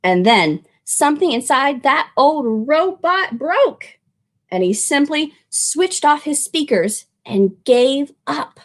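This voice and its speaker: High-pitched, very lively woman reading to children. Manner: expressive, drawn-out words, gasps and asides to young listeners. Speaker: children's librarian reading aloud. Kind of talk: animated picture-book read-aloud for children.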